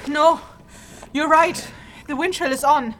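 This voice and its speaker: A woman playing a tough, practical, impatient leader of a fantasy trek in an audio drama, speaking in a brisk, bossy, dry manner. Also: high voice, steady tone, crowd noise.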